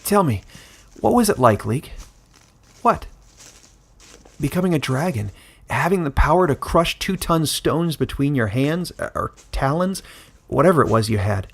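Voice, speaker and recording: A man's voice: a little high, sing-song, measured pace, vocal fry, pauses often, husky, pitched above the performer's own voice, heavily acted. Man doing an eager young exiled boy. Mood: curious. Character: young exiled village boy, restless and wistful.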